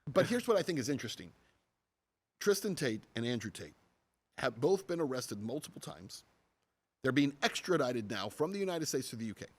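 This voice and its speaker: A man's voice: medium pitch, sing-song, a little fast. A middle-aged man hosting a podcast, making a journalist's case with emphasis and rhetorical questions. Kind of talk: conversational interview commentary.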